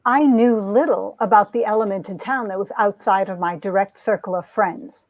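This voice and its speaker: A woman's voice: medium pitch, moderate pace, dry recording, dull audio, lightly acted. A woman playing a lovestruck young society heroine who narrates her romance with earnest melodrama, in an amateur comic reading. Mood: reflective. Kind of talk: dramatic reading of a 1950s romance comic, first-person narration.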